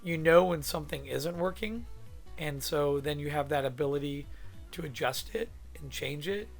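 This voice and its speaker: Man, hoarse from a cold, gravelly, slightly high voice, rambling teacher, faint music behind. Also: normal speed. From California. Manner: rambling, thinking aloud with many fillers. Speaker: art instructor. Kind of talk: casual narrated drawing demo. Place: home studio.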